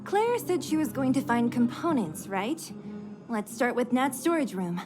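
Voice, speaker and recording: Brash, animated young woman fighter. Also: fairly high pitch, measured pace, over music. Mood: breezy, teasing. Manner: blunt, expressive. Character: brash, energetic young female fighter, protective and impulsive. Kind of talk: video game cutscene voice acting.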